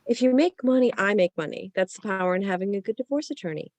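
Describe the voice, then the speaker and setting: A woman's voice: medium pitch, lively intonation, fast, darkish tone, lightly acted. A woman acting wry, defensive women in a comedy screenplay table read.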